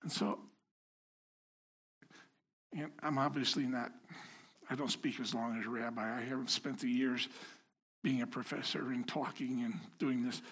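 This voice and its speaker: A man with a slightly husky voice gives a relaxed sermon to his congregation. He keeps it conversational and self-deprecating, teasing the people in front of him as he goes. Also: mid-range voice, a little slow, long pauses, rough recording. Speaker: lay preacher. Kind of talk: informal sermon. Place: house of worship before a congregation.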